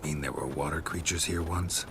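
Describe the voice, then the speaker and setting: An actor playing a gruff middle-aged monster hunter who talks in dry, clipped lines in a video game. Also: male voice, a bass voice, steady tone, normal speed, noisy background.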